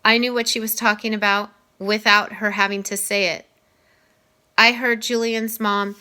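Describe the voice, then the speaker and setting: A woman reads a children's novel aloud in a bright voice of middling pitch. Her delivery is that of an expressive storyteller, narrating the action. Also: clean tone. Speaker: reader.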